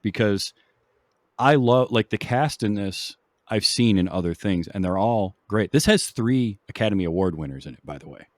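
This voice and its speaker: A man hosting a casual film discussion in a conversational, measured, agreeable way. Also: lowish voice, animated, dry recording. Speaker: film podcast host.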